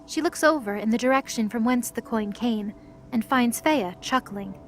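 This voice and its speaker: A woman's voice: on the high side, normal speed, slight background noise, lightly acted. A woman narrator reading scripted third-person narration for an audio drama.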